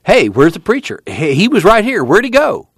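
A man's voice: on the high side, sing-song, unhurried, fairly bright tone, dry recording, studio quality, voice pushed up, exaggerated voice. A man voicing an easygoing young rural man who speaks in folksy dialect.

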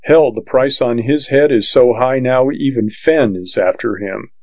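A man's voice: medium pitch, a little slow, darkish tone, no echo, natural voice. A man voicing a talkative, gossipy young frontier sidekick who speaks in an offhand way. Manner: chatty, offhand. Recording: dull, lo-fi dry audiobook recording.

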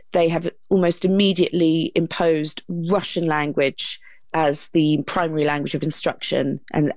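A woman author speaks as an interview guest. Her voice sits fairly low, and she explains things in an articulate way. The sound is close and dry. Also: darkish tone, dry recording.

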